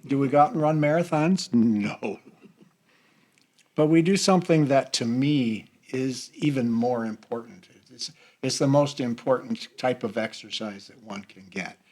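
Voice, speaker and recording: A man's voice: mid-range voice, unhurried, a little mellow. An older American man talking casually and earnestly as a guest from a church group. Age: older, over 50.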